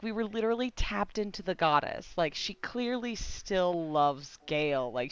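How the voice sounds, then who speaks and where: A woman reacting casually to a video game on a livestream, slangy, sweary and expressive. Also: mid-range voice, highs cut off.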